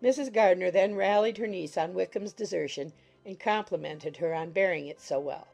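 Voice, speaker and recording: A woman reading a classic novel as an audiobook narrator, in a formal, expressive storytelling manner. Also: fairly low pitch, moderate pace, creaky, soft background music. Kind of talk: scripted literary narration.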